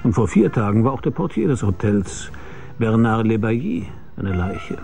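An actor playing a hard-boiled, world-weary private detective, narrating a radio drama in a dry, sardonic, laconic way. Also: male voice, on the low side, big pitch swings, normal speed, vocal fry, music in the background.

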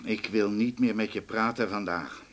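An actor playing a sensible, patient police officer in a radio drama, reasonable and matter-of-fact. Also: male voice, on the low side, normal speed, fairly bright tone, lightly acted. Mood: reproachful. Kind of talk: naturalistic radio drama acting.

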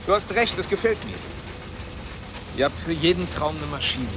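Actor as a lively, sardonic male drifter. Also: fairly high pitch, moderate pace, very noisy, lightly acted. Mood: defiant, sardonic. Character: restless, sardonic East German ex-prisoner and dreamer. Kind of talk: naturalistic radio drama acting.

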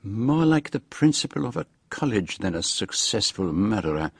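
An actor playing a shrewd, courteous foreign gentleman detective in a radio drama, speaking formally with precise, dry wit. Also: lowish voice, very animated, moderate pace, vocal fry, husky, exaggerated voice. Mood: dryly observant. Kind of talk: radio drama dialogue and narration.

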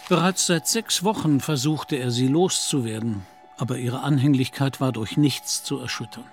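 A man's voice: medium pitch, normal speed, faint music behind, close to an everyday voice. A man narrating a literary audio drama in German as a scripted storyteller.

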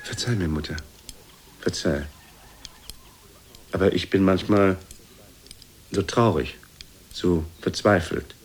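A man's voice: low voice, takes it slowly, pauses often, husky, exaggerated voice. An actor playing a meek, despondent ageing womanizer in a radio play, pleading apologetically and defensively with his mother. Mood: remorseful, sad. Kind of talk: German radio play acting.

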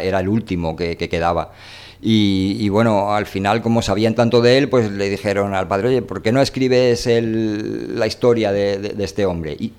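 Deep-voiced Spanish man chatting casually. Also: a little mellow. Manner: hesitant, repeating words as he searches for phrasing. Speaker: radio show host. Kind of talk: casual, rambling literary discussion.

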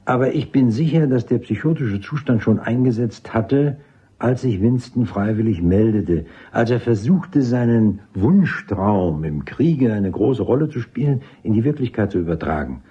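An actor playing a brisk, authoritative army doctor in a German radio drama, speaking formally and didactically. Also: male voice, on the low side, expressive, dark tone, slightly rough, highs cut off. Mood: clinical, assured. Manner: didactic, formal, clipped military address. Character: brisk, authoritative male army psychiatrist with major's rank.

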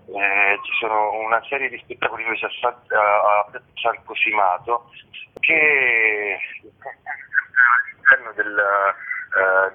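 Low-voiced, halting man on a phone line. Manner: halting, searching for words, frequent hesitations. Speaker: interview guest, festival curator. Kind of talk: radio phone interview. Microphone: telephone. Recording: tinny, narrow phone line.

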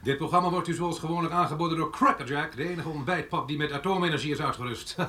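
Slick breakfast TV host, highish bright voice, theatrical sales patter, radio play, faint noise. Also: male voice, fast talker. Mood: showy, upbeat. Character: slick male breakfast-show television host with veiled menace. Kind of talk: showy broadcast presenting and advertising patter.

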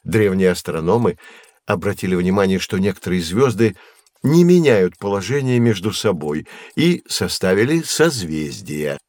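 Kindly professor, dry studio sound. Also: male voice, sits low, expressive, normal speed, a little mellow, no room sound. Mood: explanatory. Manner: didactic, carefully phrased, encouraging. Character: kindly patient male professor explaining science to children. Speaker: professor character in educational audio play. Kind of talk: scripted educational audio drama, explanatory.

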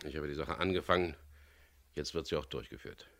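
A hard-headed captain and diver in a vintage radio thriller. His voice sits extremely low with a gravelly fry and a forceful edge. He speaks tersely, like someone who has made up his mind. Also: lively intonation, a little fast, full character voice. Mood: stubborn, defiant. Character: gruff, stubborn male boat captain and diver turned thief. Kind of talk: German radio crime drama acting with first-person narration. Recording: 1970s radio play production.

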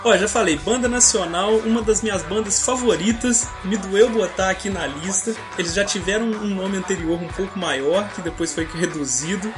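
A Brazilian man with a Brazilian Portuguese accent, talking loose and opinionated on a comedy podcast. He rambles, circles back, and drops curse words without a second thought. Music plays clearly underneath. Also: sits high, moderate pace, hardly any pauses. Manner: opinionated, rambling, swears casually. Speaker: podcast co-host. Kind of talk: casual comedic banter.

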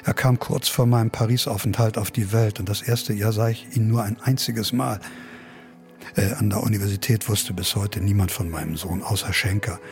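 An actor playing a weary, detached middle-aged academic father, narrating a radio drama in a measured, reflective, melancholic way. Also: on the low side, steady tone, darkish tone, soft background music, close to an everyday voice. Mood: brooding, resigned. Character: weary, detached academic father brooding over his son.

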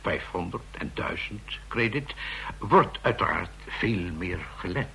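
A man's voice: low voice, lively intonation, slow pace, creaky, sharp tone, a little hiss or hum, full character voice. An actor playing an insistent male counterfeiting expert explaining his case to officers in a radio drama.

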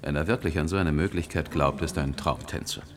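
An actor playing a skeptical, opinionated judge with a wry, mocking manner in a German radio play. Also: male voice, rumbling low, fast, noisy background. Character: sardonic, skeptical male judge, amused and opinionated.

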